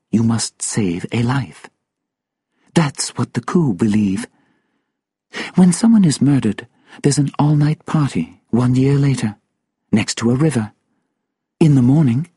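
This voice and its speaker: Male narrator as grieving woman, raised pitch, soft husky delivery, deliberate, guarded, audiobook drama. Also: no room sound, studio quality. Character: grieving, solemn African woman interpreter, nervous and guarded.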